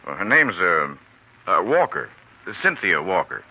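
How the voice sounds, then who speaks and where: A man's voice: sits low, big pitch swings, a little slow, heavy background noise, exaggerated voice. An actor playing a kindly, world-weary older senior doctor in a 1940s radio drama, musing and hesitant in a fatherly way. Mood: casual, matter-of-fact. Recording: dull old radio recording.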